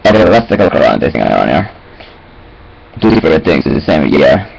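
A man's voice: a bass voice, sing-song, a little fast, gravelly, darkish tone, husky, rough recording. A young man mumbling casually to himself at a webcam in a small room, in disjointed phrases with sing-song filler sounds. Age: young adult. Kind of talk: rambling casual self-talk to webcam. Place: small cluttered room indoors.

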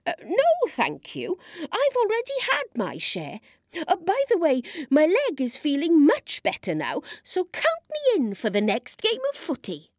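A woman's voice: sits high, huge pitch swings, unhurried, voice pushed up, exaggerated voice. A woman voicing a greedy, sly male animal who whines and complains in an exaggerated way. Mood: sheepish.

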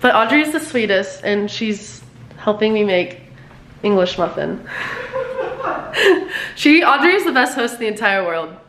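A woman's voice: mid-range voice, expressive, a little slow, long pauses, fairly bright tone, slight background noise. Bubbly young woman in an echoey room. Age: 20s. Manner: bubbly and gushing. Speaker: friend of the host. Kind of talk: casual vlog chat. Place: apartment room, indoors.

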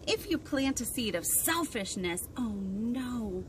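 A woman in her thirties leading an interactive storytime for young children, expressive and sing-song, asking lots of questions. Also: on the high side, slow. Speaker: children's librarian hosting storytime. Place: outdoors on a wooden deck by trees. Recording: windy outdoor recording with clear noise. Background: wind and outdoor ambience.

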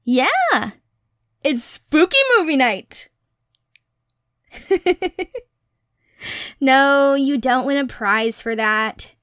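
A woman acts out a nerdy young girlfriend in an ASMR roleplay. Her voice is high and the sound is close and dry. She rambles playfully and affectionately, teasing the listener as she chats. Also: very animated, very slow, pauses often, a bit bright, dry recording, exaggerated voice. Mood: playful, excited. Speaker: roleplay voice actor. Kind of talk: ASMR girlfriend roleplay, casual one-sided chat.

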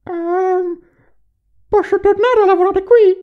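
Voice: very high voice, steady tone, slow, dull audio. An actor voicing a timid, anxious animatronic fox in a parody cartoon, repeating words in nervous bursts. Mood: nervous, eager.

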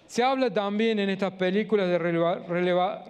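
A man in his fifties with an Argentine accent from Córdoba, giving a measured, earnest public science talk with frequent hesitations, in an auditorium. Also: high voice. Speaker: guest lecturer. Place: auditorium with a seated audience.

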